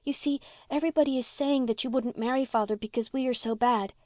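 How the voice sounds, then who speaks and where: A woman's voice: high-pitched, moderate pace, darkish tone, dry recording, clean studio sound, voice pushed up, natural voice. A woman voicing an earnest, anxious and sweet little girl in a storybook reading, with a breathless, pleading manner. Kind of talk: audiobook character voice.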